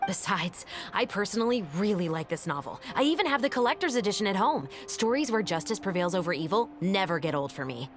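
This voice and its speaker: A woman voicing a calm, composed female officer in a video game, speaking in a steady, matter-of-fact way. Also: mid-range voice, brisk, few pauses, over music, close to an everyday voice. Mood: friendly. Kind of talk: game voice acting.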